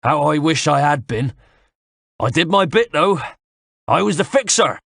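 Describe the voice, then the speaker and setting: A man voicing a gruff, middle-aged male soldier with a West Country accent, wheezy and out of breath, who taunts in a sneering way. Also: fairly high pitch, expressive, measured pace, a bit bright, dry recording, studio quality, voice pushed up, exaggerated voice. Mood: taunting. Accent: West Country English.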